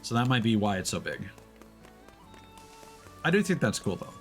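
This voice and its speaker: A man's voice: lowish voice, normal speed, soft background music. A man giving playful, casual gameplay commentary as a streamer, sometimes reading game text aloud. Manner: playful, expressive.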